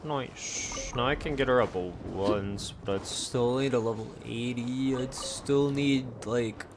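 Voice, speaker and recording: Slow-talking man streaming a game. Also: medium pitch, noisy background. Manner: rambling, thinking aloud. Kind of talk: casual gameplay commentary. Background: video game music.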